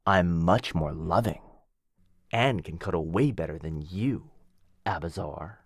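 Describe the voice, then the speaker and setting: A man's voice: low-pitched, animated, measured pace, no room sound, clean studio sound, exaggerated voice. A man voicing a cocky, jealous werewolf who mocks his rival and teases with a lot of bounce. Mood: boastful. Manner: cocky, mocking, bouncy teasing. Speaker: voice actor in boyfriend roleplay. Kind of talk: ASMR roleplay acting.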